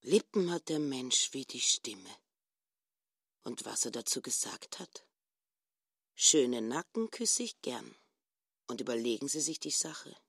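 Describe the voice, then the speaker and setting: An actress playing a self-righteous, grasping middle-aged housewife in a radio drama, indignant and full of stock phrases. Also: female voice, very deep voice, big pitch swings, unhurried, long pauses, slightly rough, no room sound, studio quality, full character voice. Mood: coy, prim.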